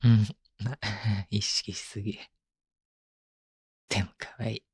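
A man playing a tender, clingy, teasing young husband in an intimate ASMR voice drama, speaking softly and playfully close to the microphone. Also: fairly low pitch, flat delivery, slow pace, full character voice. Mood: coaxing, intimate. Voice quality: soft, breathy. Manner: murmuring, playful, coaxing. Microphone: close microphone, binaural.

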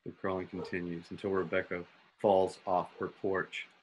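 A man with a fairly low voice narrates a radio play. He reads dramatic scene description and calls out the sound and music cues, recorded at home over a video call. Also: little pitch movement, normal speed, slightly rough, rough recording. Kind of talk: scripted dramatic narration with sound-cue directions.

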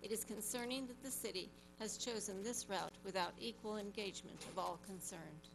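Indignant woman commenter, standing mic in the chamber, clear hum behind. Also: medium pitch, fairly even intonation, a little fast. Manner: confrontational, indignant. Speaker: public commenter. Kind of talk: public comment at a council meeting. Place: council chamber audience seating. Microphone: standing microphone at her seat.

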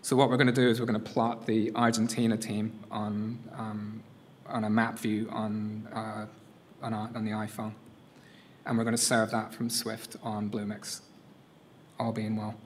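A middle-aged man with a non-North American accent, giving a casual, halting live coding demo at a conference lectern, with many fillers and restarts. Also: on the low side, completely flat delivery, a little hiss or hum. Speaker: conference workshop presenter. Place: conference room. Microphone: lectern mic.

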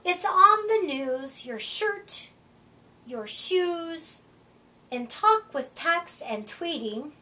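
A middle-aged woman reading a rhyming picture book aloud to children, with deliberate, expressive emphasis, recorded a short way from the mic. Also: extremely high pitch. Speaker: children's storytime reader. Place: indoor room, seated before a curtain. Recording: voice distant from the microphone.

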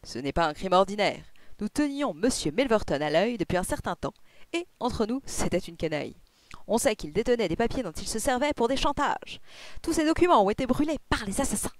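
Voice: mid-range voice, animated, brisk, dry recording, voice pushed up, lightly acted. A reader voicing a male police inspector from an audiobook detective story, formal, earnest and emphatic. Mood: earnest, eager. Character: solemn, eager police inspector, a man, chatty and official.

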